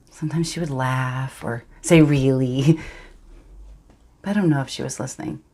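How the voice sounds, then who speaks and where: A woman performing a monologue as a wistful girl remembering a friend, gentle and hushed, with halting, intimate storytelling and a creaky voice. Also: deep voice. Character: wistful girl recalling a lonely childhood friend.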